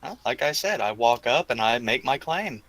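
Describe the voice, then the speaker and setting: A man's voice: medium pitch, animated, moderate pace, fairly bright tone, no echo. A man playing a tabletop roleplaying game, casually saying what his character does.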